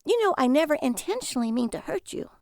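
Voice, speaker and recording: Brief: impulsive hot-tempered wife, sharp then sugary, lively high pitch, read by a woman. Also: fast talker, gravelly, no room sound, studio quality, exaggerated voice. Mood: apologetic. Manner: sharp, mood swings from snappy to sweet.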